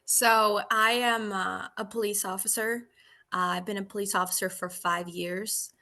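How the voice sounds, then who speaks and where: A woman from Argentina, a police officer in her thirties, telling her story in a relaxed, chatty podcast interview. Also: mid-range voice, a little slow.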